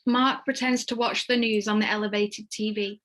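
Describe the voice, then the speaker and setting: A woman's voice: medium pitch, level tone, normal speed, fairly bright tone. A woman reading stage directions as the narrator of a screenplay, in scripted narration.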